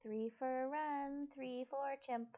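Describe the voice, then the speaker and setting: A woman's fairly high voice comes through dull, lo-fi livestream audio. She is a playful co-host, bantering casually and passing on what viewers say. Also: steady tone, slow pace, dark tone. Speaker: co-host relaying viewer comments and votes.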